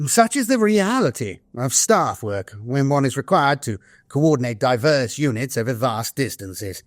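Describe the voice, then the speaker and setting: A man voicing a grave, dutiful staff officer of a far-future army in an audio drama, formal, solemn and measured. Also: medium pitch, sing-song, dry recording, close to an everyday voice. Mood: formal, resolute. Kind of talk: scripted audio drama narration of war journals and briefings. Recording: music behind a studio voice.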